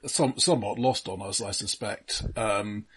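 A middle-aged British man co-hosting a casual film discussion in a measured, analytical way with careful qualifications. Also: fairly low pitch, breathy edge. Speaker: film discussion podcast co-host.

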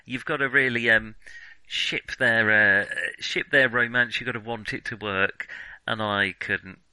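A man's voice: on the low side, steady tone, measured pace, pushed and bright, smooth, no echo, poor audio. A man co-hosting a film podcast, chatting casually and often tentatively searching for words. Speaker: film discussion podcast co-host.

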